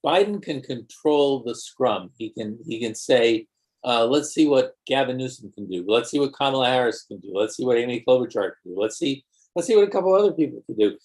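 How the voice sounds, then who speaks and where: Man with quick emphatic bursts. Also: mid-range voice, animated, darkish tone. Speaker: panelist. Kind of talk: casual panel discussion.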